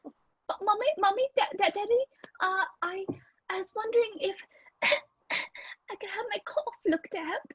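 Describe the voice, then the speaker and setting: High-pitched sickly child, voiced by a woman, timid, stammering, comedy sketch, dull lo-fi sound. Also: a little slow, long pauses, a bit bright, dry recording. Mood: pleading, timid. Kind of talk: improvised comedy radio-play acting.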